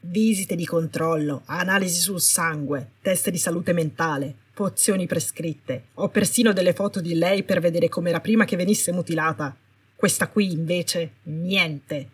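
A cold, indignant healer in an audiobook story, voiced by a woman. The voice is rather low and husky, clipped and commanding, full of accusation and threat. It is recorded in a roomy space. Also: normal speed. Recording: roomy, distant microphone.

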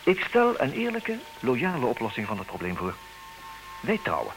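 A man acting in a comedic radio play as a formal, businesslike suitor, stubborn and dryly exasperated, speaking with measured, theatrical emphasis. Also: mid-range voice, huge pitch swings, over music. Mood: insistent, businesslike. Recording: noisy, hissy old radio recording.

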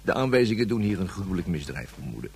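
A man playing a stern official who deliberately reads out a case report in a science-fiction radio play. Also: sits low, big pitch swings, darkish tone. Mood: grave, officious.

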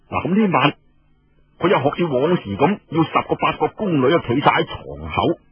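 Very husky, animated Cantonese storyteller man. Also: fairly high pitch, muffled recording. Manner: expressive, rhetorical questions and exclamations. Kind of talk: colloquial dramatic storytelling narration.

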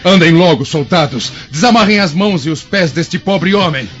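A man's voice: on the high side, expressive, moderate pace, fairly bright tone. A Brazilian man playing a young, loyal, concerned Roman officer in a radio drama, formal and theatrical in delivery. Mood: commanding, urgent. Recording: dull lo-fi audio, music underneath.

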